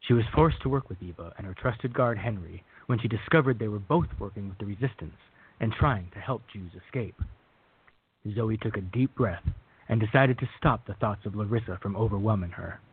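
A man's voice: on the low side, brisk, husky. A man reading scripted third-person narration for a radio drama. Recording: thin, phone-like band-limited audio.

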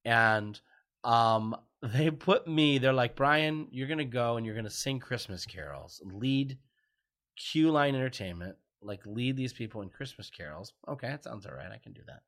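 A middle-aged man with a loud voice, a podcast guest telling animated stories in casual chat, restarting his sentences often. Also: mid-range voice, normal speed. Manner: animated storyteller, many restarts and "you know"s. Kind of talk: casual podcast interview storytelling.

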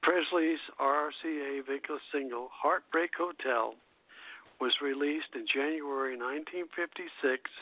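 A man hosting a radio show, reading a scripted music history aloud haltingly, stumbling over words and correcting himself. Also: on the high side, no room sound. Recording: muffled phone-like internet radio line.

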